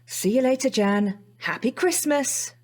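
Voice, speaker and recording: A sweary young british woman, kinda high voice, fed up, chatty audio drama style. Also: expressive, measured pace, a little mellow. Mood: irritated, sarcastic. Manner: informal, exasperated, sweary. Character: Rachel, blunt, sweary young woman missing her partner. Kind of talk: naturalistic audio drama acting.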